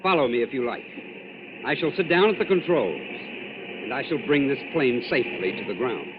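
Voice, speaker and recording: An actor playing a smug, fanatical young Nazi saboteur in a 1940s radio drama, formal, precise and theatrically gloating. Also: male voice, mid-range voice, expressive, fairly quick, natural voice. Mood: smug, controlled. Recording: muffled, noisy old radio broadcast.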